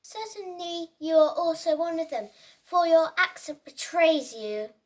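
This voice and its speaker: Young reader as very high-voiced accusing bystander. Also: lively intonation, measured pace.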